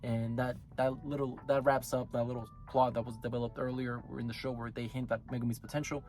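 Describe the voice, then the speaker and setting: A man hosting his own anime review channel, talking casually while sick, so his voice comes out hoarse and raspy as he rambles and corrects himself. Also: medium pitch, flat delivery, brisk, a little mellow, faint music behind.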